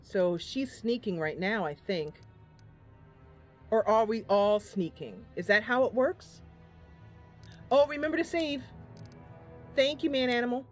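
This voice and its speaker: A woman's voice: fairly high pitch, expressive, unhurried, pauses often. Playful woman streaming a game over music. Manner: playful, giggly, chatty. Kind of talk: casual live-stream chat and role-play narration.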